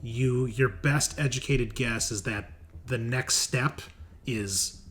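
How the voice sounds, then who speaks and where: A man running a tabletop horror game as game master, improvising narration in a halting, conversational way and thinking aloud. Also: mid-range voice, fairly bright tone. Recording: noticeable background noise.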